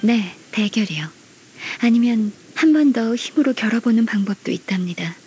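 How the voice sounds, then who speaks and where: A woman voicing a polite, dutiful young secretary in an anime-style game, formal, measured and firm. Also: a little high, expressive, noisy background, close to an everyday voice. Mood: coolly challenging.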